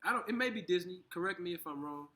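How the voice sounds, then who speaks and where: A man co-hosting a casual conversation, talking in halting bursts with frequent restarts. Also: high-pitched, expressive, pushed and bright. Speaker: co-host.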